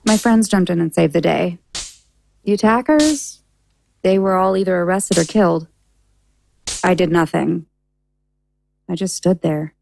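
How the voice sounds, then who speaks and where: An actress playing a nervous, earnest young novice sword student in an audio drama, speaking hesitantly. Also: female voice, on the low side, measured pace, gravelly, long pauses, darkish tone, a little hiss or hum. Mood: subdued.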